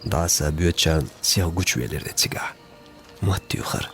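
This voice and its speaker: A man's voice: a bass voice, a little slow, soft background music. A man, a native Chechen speaker, narrating a film from a script.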